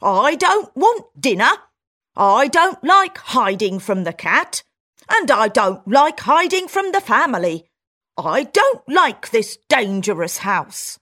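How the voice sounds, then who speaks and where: A woman voicing a homely female country mouse who talks slowly and insistently in a storybook reading. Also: fairly high pitch, huge pitch swings, pitched above the performer's own voice, exaggerated voice. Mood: upset. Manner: slow, insistent, loud complaint.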